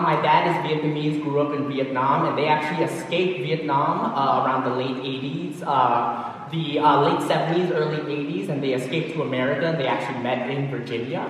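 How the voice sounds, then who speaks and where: A young man performing spoken word poetry on stage, with a rhythmic, emphatic delivery and pointed pauses, slipping into relaxed storytelling between poems. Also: on the high side, slight background noise. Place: large hall, on stage. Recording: echoey hall from far off.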